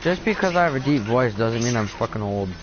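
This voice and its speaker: A deep-voiced teenage boy chatting casually with a friend while playing a game, laid-back and swearing a lot. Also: expressive, fairly quick, muffled recording, noisy background. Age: teen. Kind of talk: casual gaming chat.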